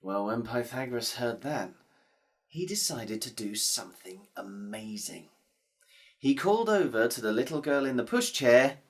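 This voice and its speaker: A woman telling a folk tale to children, playful and expressive. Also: extremely low pitch. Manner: expressive, playful, drawn-out repetitions. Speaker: storyteller. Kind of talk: storytelling for children.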